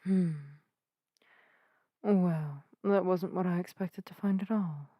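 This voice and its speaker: A woman playing a gentle, earnest witch healer in an ASMR audio roleplay, soft-spoken and soothing with old-fashioned, formal phrasing. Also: on the low side, slow, no room sound, lo-fi sound. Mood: calm, explaining. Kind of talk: narrative ASMR roleplay addressed to the listener. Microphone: close microphone in a dry space.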